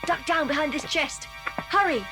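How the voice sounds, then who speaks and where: Woman as an eager, high-voiced schoolboy. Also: normal speed, loud music behind the voice, lightly acted. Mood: urgent, hushed. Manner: urgent, well-mannered, take-charge. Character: eager, bossy schoolboy adventurer. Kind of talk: scripted children's audio drama.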